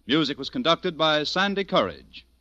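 A man's voice: fairly high pitch, sing-song, moderate pace, sharp tone, dry recording. A man with an American accent announcing in polished, scripted 1940s network radio style. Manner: polished, promotional. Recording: dull vintage radio recording, music behind.

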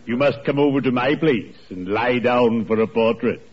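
An actor playing a genteel, morbid, drolly solemn undertaker with formal, deliberate politeness in a 1940s radio sitcom. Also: male voice, mid-range voice, close to an everyday voice. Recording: muffled 1940s radio recording with noise.

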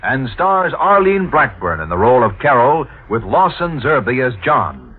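Through a thin, tinny vintage radio recording, a man makes a dramatic announcement. His mid-range voice moves with lively emphasis in the declamatory style of 1940s radio. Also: mid-range voice, moderate pace.

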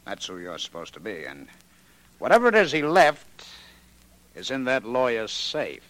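An actor playing a scheming crook in a 1940s radio crime drama, with sly, emphatic delivery. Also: male voice, mid-range voice, huge pitch swings, normal speed, a bit bright, no echo, low-quality recording. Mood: conspiratorial. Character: scheming male crook coaxing an accomplice.

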